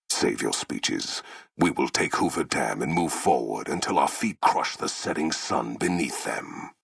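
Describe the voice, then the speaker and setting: An actor playing a towering, brutal warlord in a video game, proud and menacing, with a rasping voice and grandiose, solemn, formal delivery. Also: male voice, extremely low pitch, a bit bright, no echo, clean studio sound, exaggerated voice. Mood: menacing, contemptuous. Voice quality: gravelly, rasping. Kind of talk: theatrical video game villain acting.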